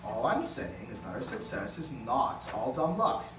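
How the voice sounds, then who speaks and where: A man's voice: medium pitch, sing-song, a little slow. A man playing a defensive, spiteful lawyer with a sarcastic, profane manner in a comedic script read. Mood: argumentative. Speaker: actor at a script table read. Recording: roomy, distant mic, faint noise.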